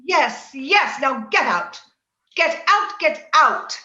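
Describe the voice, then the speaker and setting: A woman's voice: sits high, expressive, slow pace, bright, forceful tone, exaggerated voice. A woman acting a passionate, willful young woman of the moors in an old-time radio drama, theatrical and impetuous. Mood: angry, defiant. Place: indoor room, remote video-call session. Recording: roomy, dull video-call audio, faint noise.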